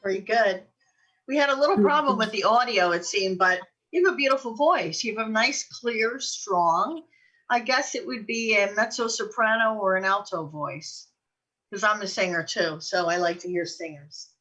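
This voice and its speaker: A woman's voice: mid-range voice, moderate pace, a bit bright, far from the mic, poor audio. A woman chatting casually as a show host. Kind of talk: casual chat.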